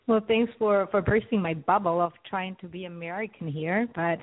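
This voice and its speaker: A woman from Switzerland with a Swiss accent, a guest singer chatting casually, relaxed and laughing lightly. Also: on the low side, normal speed, phone-quality sound. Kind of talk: casual chat.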